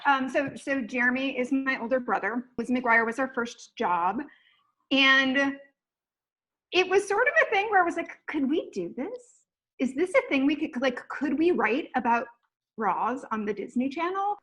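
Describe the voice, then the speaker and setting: Woman, middle-aged, high-pitched. Animated, casual storyteller on a home video call. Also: normal speed. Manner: animated retelling with quoted dialogue. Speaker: television writer. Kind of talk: casual anecdote. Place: room at home.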